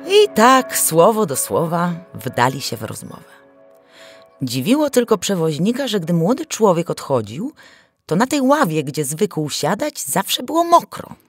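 A woman tells a fairy tale in a low voice that moves up and down with lively, theatrical expression. She narrates as a storyteller, with music playing behind her. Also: unhurried, husky. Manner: theatrical, animated.

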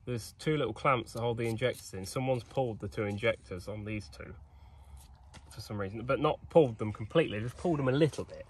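Young guy, casual self-talk vlog, average pitch, noisy night air behind. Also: quick pace. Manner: chatty, cheeky, thinking aloud while working. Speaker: vlogger mechanic. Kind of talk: casual workshop narration. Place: outdoors at night by an old digger.

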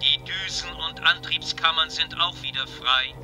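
A man's voice: fairly high pitch, moderate pace, bright, forceful tone, faint music behind. A man voicing a proud, eager spaceship computer with polite manners in a children's sci-fi radio play. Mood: dutiful.

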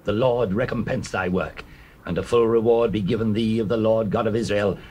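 An actor playing Boaz, a kindly, dignified older landowner, in measured, solemn classical delivery of dramatized scripture. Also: male voice, on the low side, steady tone, a little mellow, natural voice. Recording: noisy old cassette tape.

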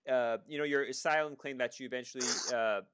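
A man's voice: mid-range voice, quick pace, fairly bright tone, smooth. A man hosting an interview podcast as an immigration attorney, talking casually with many fillers and restarts. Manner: conversational, with frequent fillers and restarts.

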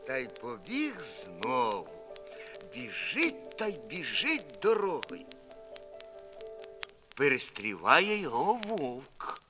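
A man narrating a children's fairy tale as an expressive, theatrical folk storyteller. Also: medium pitch, slow pace, pauses often. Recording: vinyl record transfer with music.

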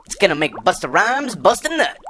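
A man's voice: sits high, big pitch swings, a little fast, bright, forceful tone, full character voice. A man playing a dejected boy scientist and would-be rapper in a comedic audio drama, glum and slangy. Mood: sheepish.

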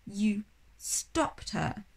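A woman's voice: medium pitch, lively intonation, drawn-out words, creaky, full character voice. A woman voicing an eager, intense young girl in a storybook, insistent and questioning. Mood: indignant.

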